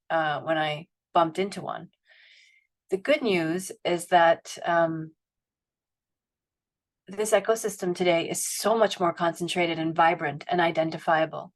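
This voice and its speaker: A middle-aged woman on a panel telling her own career story in a reflective, roundabout way, with many restarts and fillers, speaking over a video call from home. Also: lowish voice. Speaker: panelist at a family foundation. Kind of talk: webinar panel talk.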